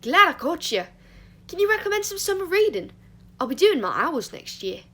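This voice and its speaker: A woman acts out a cheerful teenage schoolboy in a storybook. The voice is lifted high and lands loud and bright with eagerness, relentlessly upbeat and keen to chat. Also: sing-song, moderate pace, exaggerated voice. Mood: eager, chirpy. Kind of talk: acted audiobook dialogue.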